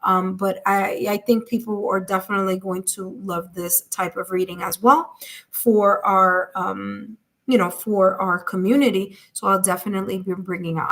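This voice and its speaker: A woman's voice: mid-range voice, fairly bright tone. A woman with a Puerto Rican accent giving a live tarot reading in a chatty way, full of fillers and repetition. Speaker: tarot reader.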